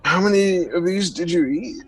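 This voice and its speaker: A man's voice: high voice, moderate pace, slightly rough, faint music behind, low-quality recording. A man playing a probing, mocking inner voice that poses as a friend, casually needling in a naturalistic audio drama.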